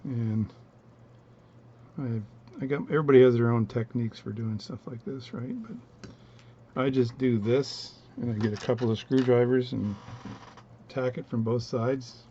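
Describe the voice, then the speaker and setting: A man with a low voice talking through his watch repair at a home workbench, relaxed and rambling as he narrates each step. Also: measured pace, long pauses, lo-fi sound, slight background noise. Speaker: watchmaking hobbyist demonstrating. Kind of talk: casual bench demonstration, talking while working.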